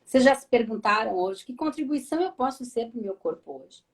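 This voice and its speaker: A woman with a southern Brazilian gaúcho accent, a therapist hosting a live talk, lively and speaking straight to her viewers with frequent tag questions. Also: a little high, brisk. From Rio Grande do Sul, Brazil.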